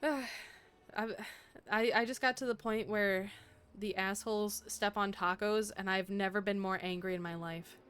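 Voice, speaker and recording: A woman's voice: mid-range voice, steady tone, unhurried, fairly bright tone, clean tone. A young adult woman streaming a game, chatting casually in a playful, teasing way and laughing often.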